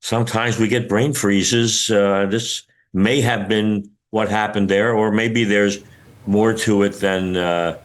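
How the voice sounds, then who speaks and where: An older man being interviewed as an expert, explaining things haltingly as he searches for words. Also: on the low side, steady tone. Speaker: retired air traffic controller, interviewed expert. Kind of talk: news interview, unscripted explanation.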